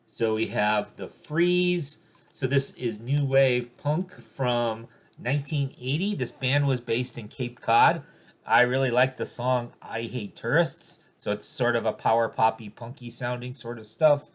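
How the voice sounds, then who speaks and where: A man's voice: medium pitch, unhurried. A man casually showing off his recent record finds, with many ums and rambling asides. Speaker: record collector vlogger. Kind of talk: casual record show-and-tell.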